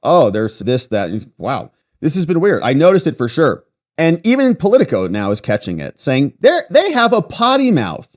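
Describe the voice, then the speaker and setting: A man's voice: on the high side, huge pitch swings, moderate pace. An American man hosting political commentary, reading articles aloud and breaking in with sarcastic, mocking asides.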